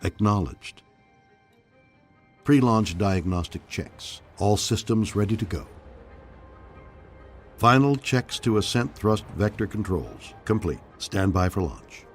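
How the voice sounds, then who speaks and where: A calm male starship computer, with a lowish voice and clipped, measured replies. Each status report comes out steady and even. Soft music plays underneath. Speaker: voice of ship assistant.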